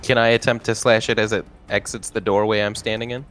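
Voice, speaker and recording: A man's voice: fairly low pitch, flat delivery, fast talker, fairly bright tone. A man talking casually as a player in a tabletop game, reporting his rolls and moves. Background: music.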